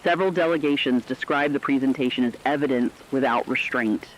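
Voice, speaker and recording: A man's voice: medium pitch, a little fast, a little hiss or hum, close to an everyday voice, low-quality recording. A man playing a serious radio correspondent, giving a scripted emergency war report with formal, emphatic, clipped phrasing. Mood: serious, urgent.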